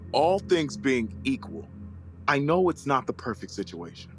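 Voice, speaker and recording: An actor playing an earnest, conciliatory adult brother who gets exasperated, in an audio drama. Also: male voice, medium pitch, big pitch swings, a little slow, faint music behind. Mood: earnest, pleading.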